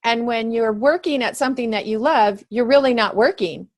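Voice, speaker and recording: Encouraging woman host on a video call, slightly high voice, affirming. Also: moderate pace. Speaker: interviewer, host. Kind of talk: casual conversational interview.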